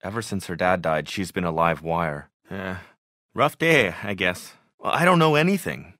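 A man's voice: low-pitched, lively intonation, normal speed, sharp tone, dry recording, studio quality. A man playing a nerdy, mild-mannered tinkerer in a zombie video game, speaking earnestly and a little hesitantly, pedantic when explaining. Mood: awkward, chatty. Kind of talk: naturalistic video game voice acting.

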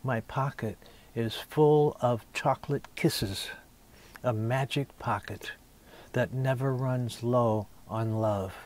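A man in his sixties, a poet, reading his own verse intimately, in measured phrases with deliberate pauses. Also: medium pitch, a little mellow. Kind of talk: poetry reading, intimate recitation.

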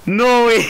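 A man's voice: very high voice, gravelly, a bit bright, poor audio. A fifteen-year-old boy from California chatting and joking over voice chat while recording a block-building game, often laughing and teasing his friend. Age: 15, teenager. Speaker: channel owner recording the gameplay. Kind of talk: casual gaming chat over voice call.

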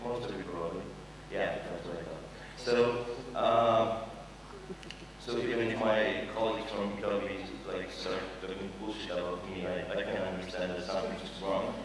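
A man's voice: deep voice, steady tone, moderate pace, distant mic, noisy background. A young man gives a casual, joking conference talk, full of fillers and the odd swear word. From Poland. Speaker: conference speaker. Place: large conference hall with an audience. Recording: echoey hall recorded from afar.

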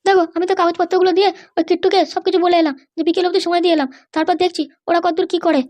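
A very high, shrill voice from a woman plays an aggrieved cartoon mother-in-law. She scolds and complains at a breakneck clip, full of scheming grievance. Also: level tone, rapid-fire, a little mellow. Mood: scolding. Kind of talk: exaggerated cartoon dialogue.

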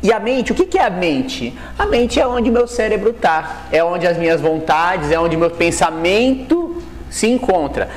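A man's voice: high voice, big pitch swings, measured pace. A man in his thirties with a Brazilian accent giving an informal religious lecture, explaining colloquially and often rephrasing with little tag questions. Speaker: lecturer at a Spiritist study group. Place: indoors, plain room with whiteboard backdrop. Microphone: clip-on lapel microphone.

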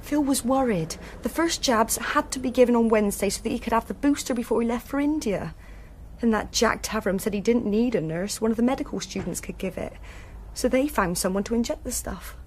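An actress as a grieving young hairdresser. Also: female voice, on the high side, brisk, a little mellow, slight background noise, natural voice. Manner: plain-spoken, distraught. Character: young woman hairdresser grieving her murdered boyfriend. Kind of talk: BBC radio drama acting.

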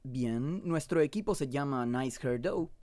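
A young man hosting an animated comedy video, giving mock-grand royal proclamations with deadpan asides, recorded on a studio microphone. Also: mid-range voice, measured pace. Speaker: animation channel host and voice actor. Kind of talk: scripted comic narration for an animated video.

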